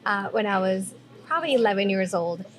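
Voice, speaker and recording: A woman's voice: medium pitch, expressive, fairly quick. A woman from Mexico with a Mexican accent, a guest in a casual podcast chat, talking things through in a reflective way and often checking in with "right?". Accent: Mexican Spanish. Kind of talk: casual conversation.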